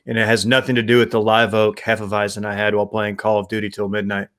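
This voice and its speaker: A young man co-hosting a casual podcast from home, a little raspy after a late night, easygoing and self-deprecating. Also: on the low side, little pitch movement, fast, fairly bright tone. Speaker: podcast co-host. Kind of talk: casual chat.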